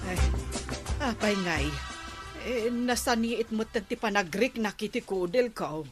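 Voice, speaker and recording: A woman's voice: medium pitch, slow pace, over music, natural voice. An actress playing a vain village woman obsessed with whitening her skin in a radio comedy drama, with an Ilocano accent. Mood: complaining.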